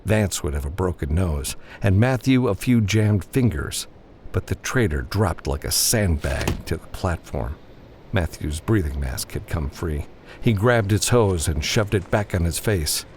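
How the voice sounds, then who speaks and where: Male narrator, low-pitched, animated intonation, dramatic fiction reading, faint ambient noise behind. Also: unhurried. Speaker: audiobook narrator.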